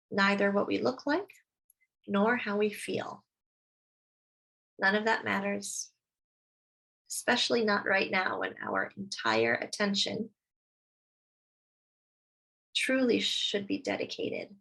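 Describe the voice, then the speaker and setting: A woman leading a guided meditation, speaking slowly and soothingly as she gives gentle instructions. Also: mid-range voice, gravelly, slightly rough, low-quality recording.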